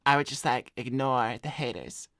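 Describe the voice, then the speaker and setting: Guy playing a cocky deadpan cartoon hedgehog dude, slangy, mid pitch, real dry sound. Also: moderate pace, vocal fry, no room sound. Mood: cocky, bragging. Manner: slangy, swearing, deadpan bragging. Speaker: cartoon character co-hosting an advice livestream. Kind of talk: improvised in-character chat, reading donor messages.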